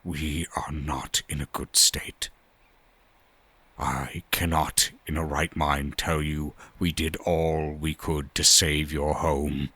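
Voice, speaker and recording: A man playing a weary, regretful war survivor, speaking solemnly and gravely in a husky voice. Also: extremely low pitch, little pitch movement, slow pace, pushed and bright, no echo, heavily acted. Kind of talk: dramatic character monologue.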